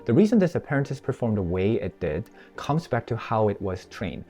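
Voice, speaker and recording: A man whose first language is Korean, chatting through a software tutorial and review on a wireless mic and checking in with the viewer. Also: on the low side, very animated, fairly quick, husky. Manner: chatty, explanatory, tosses in "right?" to the viewer. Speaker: tech YouTuber. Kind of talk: casual screen-recorded tutorial and sponsored review. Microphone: wireless microphone.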